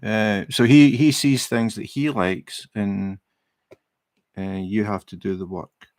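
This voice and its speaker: A middle-aged Scottish man chatting casually on a livestream while he crafts, relaxed and rambling, with many fillers and restarts. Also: fairly low pitch, slow, no echo. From Scotland. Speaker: livestream host. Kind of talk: casual chat while crafting, reading viewer comments.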